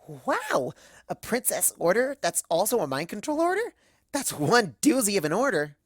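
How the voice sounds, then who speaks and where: A woman acts out a hyper, cheerful cartoon pony telling a rambling, gossipy story. The delivery is exclamatory and swings widely in pitch. The sound is dry, with hardly any room. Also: sing-song, moderate pace, no echo. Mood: excited. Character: hyper, scatterbrained, cheerful young female cartoon pony narrator. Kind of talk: first-person comedic fan fiction narration.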